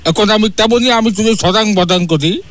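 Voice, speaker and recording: A man's voice: sits high, normal speed, a bit bright. A man playing a boastful 75-year-old customer who gives a bragging testimonial for a herbal remedy. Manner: hesitant start, then bragging sales testimonial.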